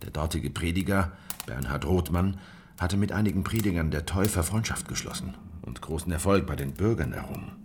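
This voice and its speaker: An actor playing a young Anabaptist revolutionary, narrating a radio drama in a reflective, measured way. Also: male voice, deep voice, darkish tone, a little hiss or hum, close to an everyday voice. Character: young male Anabaptist revolutionary recalling his journey. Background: music.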